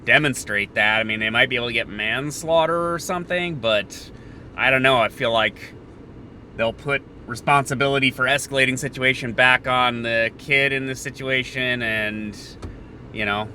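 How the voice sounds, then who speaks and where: A man hosting a podcast, thinking out loud in a sardonic, opinionated political monologue that moves between halting pauses and bursts. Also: mid-range voice, a little hiss or hum. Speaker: podcast host.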